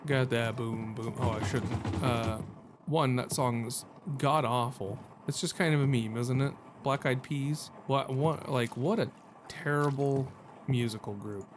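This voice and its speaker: A man's voice: mid-range voice, a little slow, music in the background. A man doing casual let's-play commentary as a gaming host, joking and self-deprecating in slangy talk.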